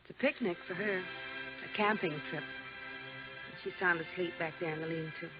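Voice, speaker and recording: A woman's voice: lowish voice, fairly even intonation, normal speed, lightly acted. Female actor as guarded, plain-spoken young woman in hiding for vintage radio thriller; muffled old-broadcast sound. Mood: wistful. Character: wary young mother hiding out from a mobster. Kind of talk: 1950s radio drama acting. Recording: dull 1950s radio recording, faint music.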